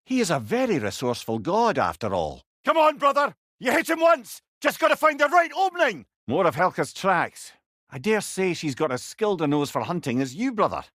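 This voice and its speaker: A witty, fond old Scottish companion from a video game. His voice sits a little high and swings widely in pitch as he talks with wry, wordy eloquence. The sound is dry, free of any room. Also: huge pitch swings, fairly quick, a bit bright, no room sound, exaggerated voice. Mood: urgent shouting. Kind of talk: video game character dialogue.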